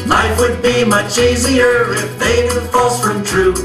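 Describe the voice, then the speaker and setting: A man's voice: very high-pitched, flat delivery, unhurried. A man playing an earnest, self-righteous ministry singer in a satirical stage show. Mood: self-righteous singing. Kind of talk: satirical stage announcing and singing. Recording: echoey live venue, distant, music behind.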